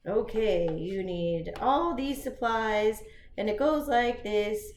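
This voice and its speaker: A woman's voice: medium pitch, slow pace, darkish tone, a little hiss or hum, voice pushed down, natural voice. A woman voicing a kind female guardian in a home puppet show, reassuring and motherly. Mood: caring. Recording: echoey room.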